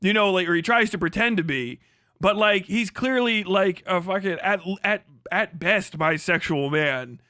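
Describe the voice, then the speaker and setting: A man's voice: sits high, moderate pace, pushed and bright, no room sound, clean studio sound. A man hosting a livestream, delivering a profane, mocking commentary rant and laughing often. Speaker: livestream host.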